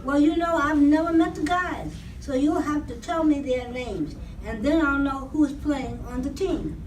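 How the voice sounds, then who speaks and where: A woman's voice: sits high, unhurried, darkish tone. An older woman playing a puzzled, questioning man in a comedy double act, read as amateur radio theatre. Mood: puzzled, curious. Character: puzzled, questioning male comic partner in baseball routine. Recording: roomy, distant mic, faint noise.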